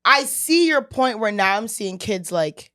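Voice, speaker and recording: A young woman with a Toronto twang co-hosting a casual podcast chat, talking freely and filling pauses with "like". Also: medium pitch, animated, pushed and bright, no room sound, clean studio sound. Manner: chatty, lots of "like" fillers. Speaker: podcast co-host.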